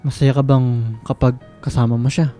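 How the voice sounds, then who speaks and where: An actor playing a reserved, supportive young student in a radio drama, with a Filipino accent and halting delivery. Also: male voice, medium pitch, fairly even intonation, warm tone, faint music behind, lightly acted. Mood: subdued, supportive. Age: young adult. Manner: hesitant, halting. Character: Liam, reserved young male student, quietly supportive friend. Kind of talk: radio drama acting with English code-switching.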